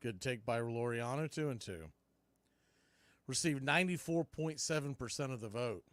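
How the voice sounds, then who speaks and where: A man's voice: mid-range voice, animated, normal speed, a bit bright, dry recording, clean studio sound. A man calling live baseball play-by-play and mixing in trivia, with clipped calls that trail off at phrase ends.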